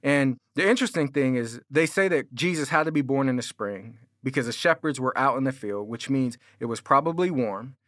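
A man's voice: mid-range voice, expressive, fairly quick, few pauses, a bit bright, no room sound, studio quality. An American man preaching as a pastor, explaining and arguing his points in an informal, conversational way. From California. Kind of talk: sermon, explanatory apologetics teaching.

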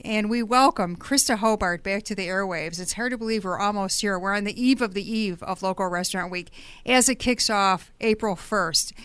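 A woman's voice: medium pitch, fairly bright tone, clear, resonant tone, dry recording. A woman hosting a casual radio interview in a studio, chatty and fond of puns, laughing often. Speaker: radio host. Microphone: studio microphone.

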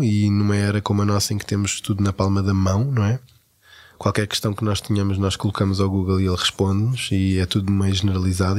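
A man with a European Portuguese accent talking reflectively in an interview, often searching for his words. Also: fairly low pitch. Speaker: actor interviewed about parenting.

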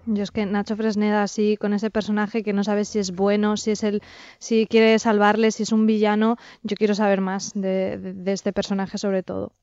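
A woman's voice: mid-range voice, completely flat delivery, moderate pace, a little mellow, no echo, highs cut off. A woman with an accent from Spain, giving a conversational review as a podcast panelist.

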